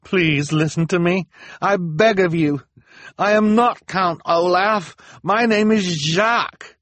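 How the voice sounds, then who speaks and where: A man's voice: high voice, slow pace, a bit bright, no room sound, pitched above the performer's own voice. A man voicing a desperate accused prisoner, close to tears and begging to be heard. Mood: pleading. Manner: begging, urgent. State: near tears.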